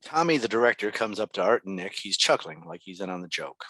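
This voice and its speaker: A man's voice: deep voice, big pitch swings, fast talker, pushed and bright. A man reading stage directions as scripted narration at a table read.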